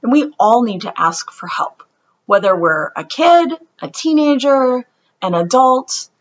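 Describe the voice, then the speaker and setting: A woman introducing a picture book to young children, speaking in simple, deliberate phrases as a read-aloud storyteller. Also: a little high, big pitch swings, dull audio.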